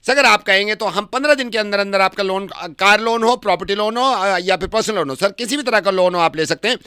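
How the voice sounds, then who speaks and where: A man's voice: sits high, fast, sharp tone, smooth, no echo, clean studio sound, voice pushed up. A man voicing a polite, relentless bank loan salesman reeling off rehearsed patter on a prank call. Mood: eager, pushy. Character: breathless fast-pitching male bank loan salesman.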